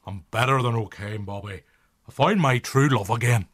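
A man playing an excitable, sentimental, exclamatory film director in a comic radio drama. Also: mid-range voice, moderate pace, close to an everyday voice. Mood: wry, earnest. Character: excitable, sentimental male film director, blue then joyful.

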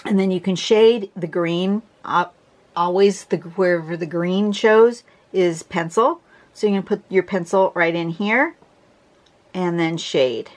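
Woman, congested, lower voice, casual drawing lesson, clears her throat. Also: a little slow. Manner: chatty, restarts a lot, clears her throat. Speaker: drawing teacher. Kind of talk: casual tutorial narration. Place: home desk, camera over the drawing surface.

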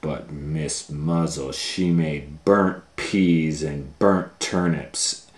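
Storytime host: man, very low voice, emphatic drawn-out read-aloud delivery, roomy echo. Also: steady tone. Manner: drawn-out emphatic phrasing with asides. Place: indoor room, reading a book on a table. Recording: roomy, echoey indoor sound.

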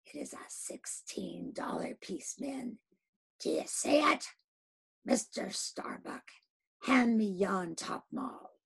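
A woman voicing an obsessed old one-legged sea captain who swings between hoarse whispers and loud shouts. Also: medium pitch, big pitch swings, slow pace, exaggerated voice. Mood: commanding. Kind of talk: audiobook reading.

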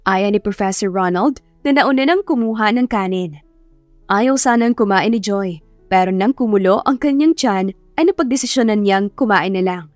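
A woman's voice: medium pitch, no room sound. A woman narrating a scripted story as an audiobook narrator. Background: background music.

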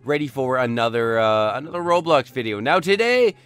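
A young man who is a bit under the weather, giving excitable, chatty, casual game commentary and repeating short exclamations. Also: medium pitch, brisk, clear, resonant tone, no room sound. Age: young adult. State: sick, says he is losing his voice. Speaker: gaming video host. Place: indoor desk setup.

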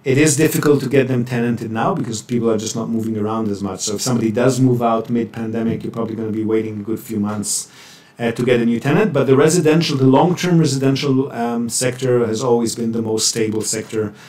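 A man presenting a webinar and answering questions in a measured, explanatory way with hesitant pauses. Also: mid-range voice, darkish tone. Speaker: webinar presenter, property buyer's agent. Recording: roomy echo, distant microphone.

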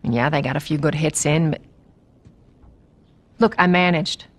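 A woman's voice: sits low, moderate pace. A woman playing a tough, wry smuggler in a video game, blunt and streetwise, with an American accent. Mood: defiant. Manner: blunt, casual, streetwise, swearing. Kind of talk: naturalistic video game dialogue.

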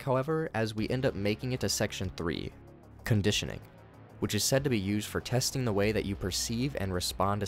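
Man, low voice, wry casual video commentator, speaking over light background music. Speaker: host of a horror series commentary channel.

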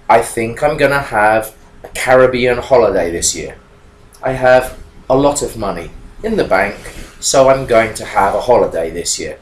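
A man in his fifties explains English phrases to learners, his voice swinging widely in pitch as he stresses each point. He talks slowly and deliberately into a desk webcam. The small room leaves an echo and a faint hiss of background noise. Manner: animated, emphatic, gestures while talking. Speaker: English teacher. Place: small room indoors with whiteboard.

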